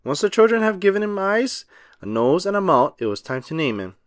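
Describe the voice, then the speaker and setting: A man narrating a children's storybook aloud as an expressive, emphatic storyteller. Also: high-pitched, fairly quick, dry recording, studio quality.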